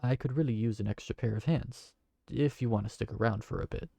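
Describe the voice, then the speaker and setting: A man's voice: mid-range voice, brisk, gravelly, dark tone, slightly rough, no room sound. A man voicing a kind, awkward tavern keeper who talks in a hesitant, friendly way. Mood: reassuring. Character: kind, awkward young male tavern keeper named Briar. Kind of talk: fantasy audio roleplay.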